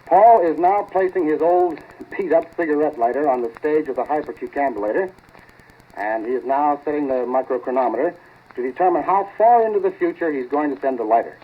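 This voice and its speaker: Laboratory assistant played by a man: eager, grumbly, lively inflection, vintage radio drama on a thin, phone-like recording. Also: on the high side, fairly quick, lightly acted. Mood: nervous announcing. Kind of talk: 1940s radio acting. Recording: tinny, scratchy 1940s radio recording.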